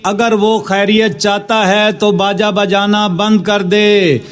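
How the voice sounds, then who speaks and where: Cartoon village servant and crier, played by a man: dutiful, high-pitched, measured delivery. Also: little pitch movement, clear, resonant tone, faint music behind. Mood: proclaiming. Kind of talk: children's cartoon moral story.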